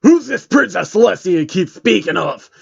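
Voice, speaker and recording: A man voicing a loud, blunt male rapper who speaks insistently, as part of a story reading. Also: high-pitched, very animated, normal speed, vocal fry, breathy edge, lo-fi sound, pitched above the performer's own voice, exaggerated voice.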